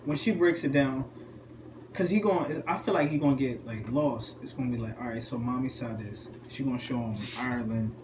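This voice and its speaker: Young father, thoughtful and halting. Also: medium pitch, roomy sound. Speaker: podcast co-host, father. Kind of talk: casual chat during a card game. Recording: clear background noise.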